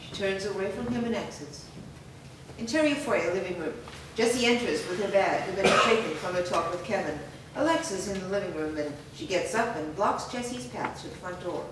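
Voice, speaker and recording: A woman's voice: medium pitch, expressive, a little fast. A woman reading scripted stage directions as the narrator of a staged reading. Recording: echoey room, distant mic, clear noise.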